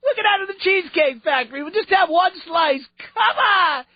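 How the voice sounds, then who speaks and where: A man's voice: very high voice, animated, moderate pace, dry recording, muffled recording, voice pushed up. A man voicing a whiny, pushy friend who wheedles and pleads, in a talk radio bit. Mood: whiny pleading.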